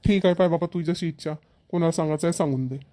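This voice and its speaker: A man's voice: fairly high pitch, very fast, warm tone, dry recording, studio quality, lightly acted. A man voicing a practical, bossy but agreeable middle-aged village father in a cartoon comedy, chatty and emphatic, with a Varhadi Marathi accent.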